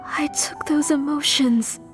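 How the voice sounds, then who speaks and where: A grieving, guilt-stricken mother in a video game, middle-aged and worn down by loss. She speaks slowly, halting and breaking off mid-phrase, in a fairly high voice with faint music behind. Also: fairly even intonation.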